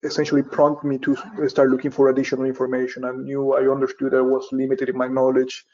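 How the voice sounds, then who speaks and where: A middle-aged man from Venezuela talks through his background as an interview guest, pausing often and restarting his sentences. His delivery is flat and even, with a mellow, darker tone. The audio is dull and lo-fi. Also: medium pitch. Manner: halting, many fillers and restarts. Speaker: guest, safety professional. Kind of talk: interview answer.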